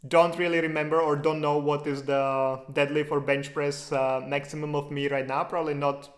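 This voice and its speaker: A man's voice: fairly high pitch, flat delivery, moderate pace. A man from the Czech Republic speaking English with a Czech accent, hosting a casual live question-and-answer stream in a loose, chatty way with lots of fillers. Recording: roomy echo.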